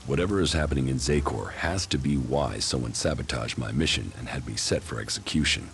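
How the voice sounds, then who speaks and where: An actor playing a grim, stoic commander in a video game, speaking in a terse, deadpan way. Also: male voice, extremely low pitch, a little fast. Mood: grim, warning. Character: grim, stoic male commander, a soldier turned outcast.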